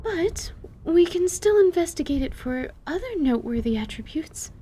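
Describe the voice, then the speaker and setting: A woman's voice: extremely high pitch, very animated, moderate pace, mellow, a little hiss or hum, voice pushed up. A woman voicing a polite, bookish young female spellcaster in an audio drama, speaking formally and carefully. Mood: polite, thoughtful. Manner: formal, careful, hesitant phrasing.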